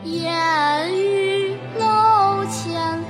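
A woman singing a slow theme song for a radio drama. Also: very high voice. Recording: loud music accompaniment.

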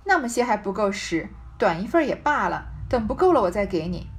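A woman reading the part of a brisk, shrewd young mistress of a rich household in a storybook, glib and bantering. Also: medium pitch, big pitch swings, faint music behind. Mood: glib, joking.

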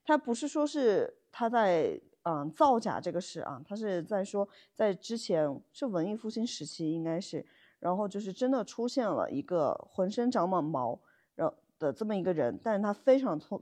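Female podcast co-host, mid-pitched, conversational storyteller who tosses in colloquial asides and rhetorical questions. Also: mellow.

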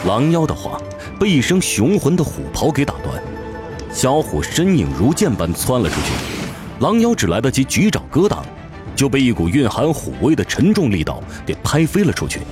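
A man's voice: mid-range voice, wildly swooping pitch, normal speed. A man narrating a fantasy audio drama from a script in a dramatic storytelling style. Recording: prominent music behind the voice.

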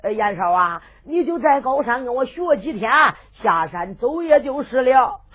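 A woman's voice: on the high side, big pitch swings, normal speed, husky. Brief: kindly old monk master, put on by a woman, sing-song, tinny lo-fi sound. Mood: gentle, relenting. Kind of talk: folk storytelling performance. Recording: lo-fi phone-like sound with faint music.

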